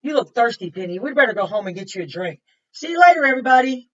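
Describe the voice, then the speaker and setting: A woman's voice: a little high, big pitch swings, fast talker. A woman, a kindergarten teacher reading a picture book aloud to children and adding simple explanations and questions. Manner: simple explanatory phrasing, pointing things out, repeating and asking questions. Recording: muffled, distant microphone.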